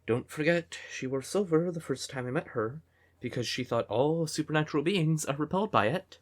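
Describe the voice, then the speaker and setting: A man playing a devoted, emotional siren boyfriend in an intimate fantasy ASMR audio roleplay, with a breathy, tender voice. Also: a little high, expressive. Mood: annoyed, hurt. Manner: tender, unhurried, emotive, with pet names. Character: devoted male siren nightclub singer, affectionate boyfriend, easily exasperated.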